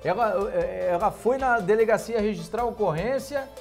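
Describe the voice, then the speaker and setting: A man's voice: sits high, normal speed, vocal fry, over music. A middle-aged man co-hosting a TV gossip segment, laid-back and joking as he brings up the news items. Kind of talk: casual studio banter. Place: TV studio.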